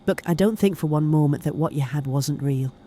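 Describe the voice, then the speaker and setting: A woman acting as a no-nonsense but compassionate senior detective in an audio drama, steady and plain-spoken. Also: deep voice, a little fast, a little hiss or hum, close to an everyday voice. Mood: frank, consoling.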